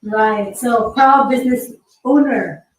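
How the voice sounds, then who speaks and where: A woman hosting an online interview, upbeat and welcoming and quick to laugh. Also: on the high side. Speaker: host. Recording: echoey room, distant mic.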